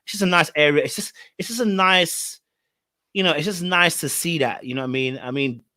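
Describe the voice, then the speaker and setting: A man's voice: on the high side, a little fast, sharp tone, dry recording. Dry-sounding laid-back male podcast host. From Ghana. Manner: laid-back, chatty, laughs easily. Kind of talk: casual reality-show discussion.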